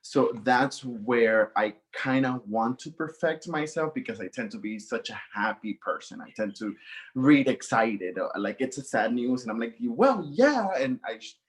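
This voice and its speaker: A young man, answering interview questions in an upbeat, excited way and talking fast. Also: medium pitch. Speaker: student news senior.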